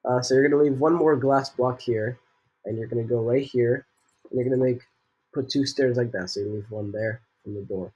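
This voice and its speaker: Sick teen boy talking over gameplay, kinda husky, lots of uh and so yeah. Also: medium pitch, fairly even intonation, fairly quick, darkish tone, muffled recording. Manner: rambling, lots of fillers, jokey asides. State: sick, voice a bit off. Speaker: gaming tutorial host. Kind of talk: casual building tutorial voice-over.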